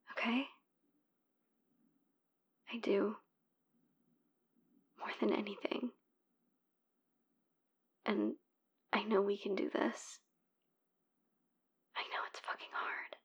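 A woman playing a heartbroken young woman who leaves a hushed, pleading voicemail for her ex, swearing in frustration. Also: on the high side, breathy edge, dull audio, heavily acted. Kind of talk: whispered audio roleplay voicemail.

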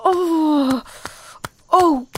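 A woman voicing a teasing, confident adult lover, speaking in a breathy, whispery way. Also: medium pitch, takes it slowly, darkish tone, a little hiss or hum. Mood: passionate. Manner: breathy, whispery, moaning delivery. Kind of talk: scripted adult audio roleplay.

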